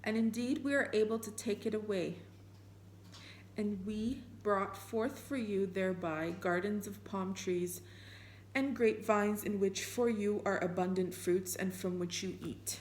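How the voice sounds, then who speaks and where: A woman whose first language is Armenian, reflecting earnestly on faith and reading passages of scripture aloud, thinking out loud with fillers and restarts. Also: medium pitch, measured pace, slight background noise. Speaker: spiritual vlogger. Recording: echoey room.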